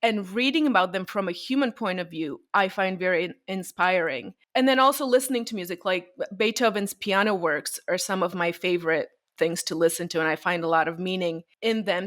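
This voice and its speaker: A woman's voice: medium pitch, normal speed, hardly any pauses. Bulgarian-born woman telling stories with laughs. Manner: warm storytelling, laughs often. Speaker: violinist guest. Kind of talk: conversational interview answers.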